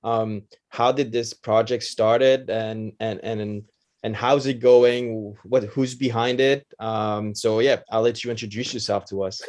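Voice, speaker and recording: A man's voice: fairly low pitch, fairly even intonation, unhurried, a little mellow. Hesitant male interviewer full of fillers. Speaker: podcast co-host, interviewer. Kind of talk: podcast interview.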